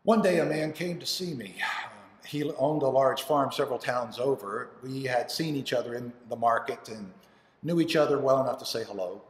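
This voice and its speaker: A man acting a devoted, grieving father in a dramatic monologue, telling of his lost son haltingly and earnestly, with dramatic pauses. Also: medium pitch, lightly acted. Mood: quiet, pained. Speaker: actor performing an audition monologue. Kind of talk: dramatic first-person monologue. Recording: echoey hall with long reverb.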